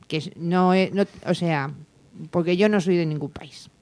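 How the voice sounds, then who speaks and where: A woman's voice: on the low side, moderate pace, a little mellow. A woman in casual group chat, talking at length to argue her point.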